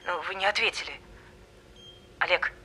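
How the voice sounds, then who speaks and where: An actress in an audio drama playing a composed, frank teacher, talking matter-of-factly through a telephone. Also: female voice, lowish voice, moderate pace, bright, forceful tone, a little hiss or hum. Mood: frank, dry. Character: composed, frank female teacher, daughter of elderly tutor.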